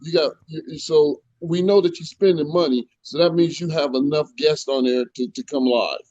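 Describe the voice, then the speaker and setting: A man in his fifties on a livestream, chiming in excitedly. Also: on the high side, normal speed, highs cut off. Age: 50s. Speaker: live-stream panelist. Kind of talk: casual chat.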